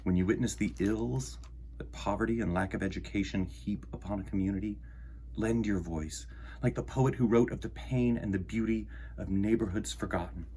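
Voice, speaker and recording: Low-voiced man reading a storybook aloud. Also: moderate pace, mellow, slight background noise. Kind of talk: children's picture book read-aloud with chatty introduction.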